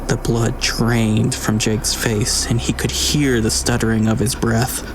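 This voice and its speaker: 沙哑嗓音的男性有声书旁白，语速平稳，背景有明显噪音. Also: male voice, medium pitch, flat delivery. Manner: measured storytelling delivery. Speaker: audiobook narrator. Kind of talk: scripted narration. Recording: noisy recording with ambience.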